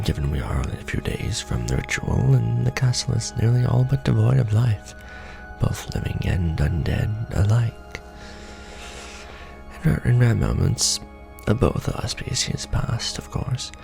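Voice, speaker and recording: A man playing a courtly, ancient vampire lord in an ASMR roleplay, speaking intimately and formally in a gravelly, often breathy half-whisper with measured pauses. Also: on the low side, heavily acted. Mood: explaining, solemn. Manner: formal, archaic wording, measured delivery with pauses. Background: music.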